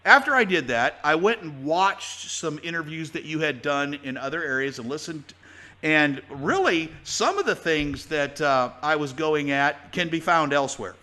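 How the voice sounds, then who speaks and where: A man's voice: on the high side, expressive, normal speed, bright, forceful tone. A man hosting his own radio show, chatting with a guest in a folksy way, full of fillers and restarts. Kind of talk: casual talk-radio interview.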